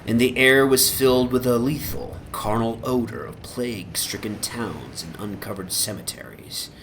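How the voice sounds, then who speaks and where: Slow, solemn male storyteller. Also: fairly low pitch, a bit bright, low-quality recording. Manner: measured, solemn, theatrical. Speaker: narrator, reader. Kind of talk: dramatic audiobook reading of literary fantasy. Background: atmospheric music.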